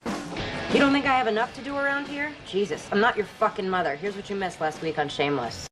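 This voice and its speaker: An actress playing an overworked young woman raising siblings, swearing at viewers in an exasperated way, over loud music. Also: mid-range voice, a little fast, close to an everyday voice, rough recording. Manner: exasperated, profane. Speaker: recap narrator for a TV series. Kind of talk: scripted TV recap.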